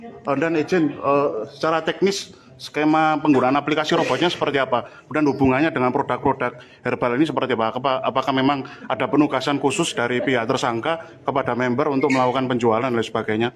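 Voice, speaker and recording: A man's voice: mid-range voice, fairly even intonation, quick pace, a crowd in the background. Guy reporter, mid voice, asking questions at a press conference, formal n a bit hesitant.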